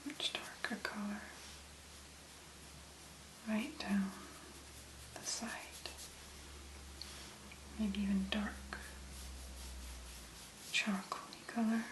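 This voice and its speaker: A woman doing soft-spoken ASMR, murmuring relaxed, whispery commentary as she works. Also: medium pitch, extremely slow, long pauses, echoey room, noisy background. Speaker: ASMR creator.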